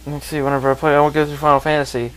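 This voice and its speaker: A man's voice: on the high side, little pitch movement, racing, smooth, poor audio. A man chatting casually as the co-commentator on a video game let's play. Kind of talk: casual chat. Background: video game music.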